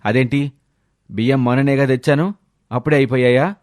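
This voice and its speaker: A man's voice: mid-range voice, steady tone, brisk, darkish tone, no room sound, clean studio sound, lightly acted. A man playing a lazy village husband who complains and wheedles his way out of things in a storybook tale. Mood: whining. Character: lazy, whining village husband full of excuses. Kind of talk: storybook character voice.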